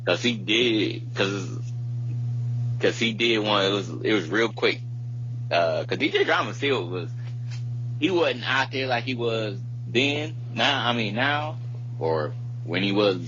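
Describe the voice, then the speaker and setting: Man, very low voice, casual podcast chat, slangy, repeats phrases, dull recording with faint hum. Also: expressive, takes it slowly, bright, forceful tone. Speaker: podcast co-host. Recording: muffled lo-fi audio with hum, hiss.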